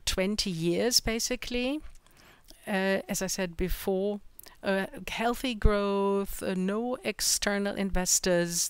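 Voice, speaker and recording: A middle-aged man with an Austrian accent gives a conference presentation in English on a large indoor stage. He speaks haltingly, often searching for words. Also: sits high, a bit bright, clean tone, no room sound. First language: German. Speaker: company product executive presenting at a conference.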